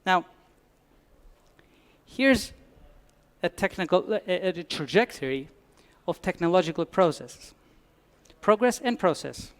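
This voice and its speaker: A man's voice: high-pitched, lively intonation, a little slow, pauses often. A man from Albania, giving a conference talk with dry humor and frequent restarts. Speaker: conference speaker. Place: conference hall.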